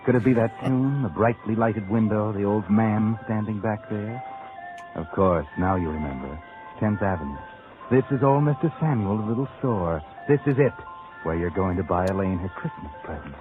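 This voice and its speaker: An actor playing a sardonic, mocking narrator who needles like a conscience in a 1940s radio suspense show. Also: male voice, on the low side, animated, moderate pace, close to an everyday voice. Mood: sardonic, taunting. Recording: narrow-band old radio recording with music.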